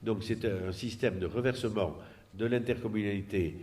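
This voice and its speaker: A man giving an unscripted explanatory political presentation, halting and often repeating words as he searches for the next one. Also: fairly low pitch, a little mellow.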